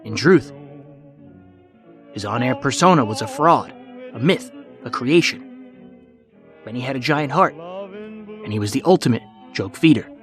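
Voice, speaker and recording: Male podcast narrator over soft music. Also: medium pitch, lively intonation, a little slow, long pauses. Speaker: podcast host. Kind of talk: scripted documentary narration.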